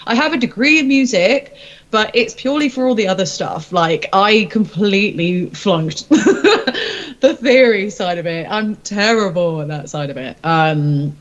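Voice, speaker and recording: A woman's voice: medium pitch, lively intonation, a little slow, highs cut off. A woman, a rock singer-songwriter, chatting casually in an interview in an informal, rambling, self-deprecating way.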